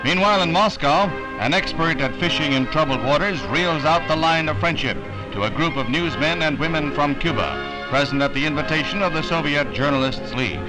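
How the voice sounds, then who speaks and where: A man's voice: on the high side, expressive, fairly quick, low-quality recording. An American man narrating a 1960s newsreel from a script, with a polished, declamatory announcer's delivery. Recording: vintage 1960 newsreel soundtrack, music behind.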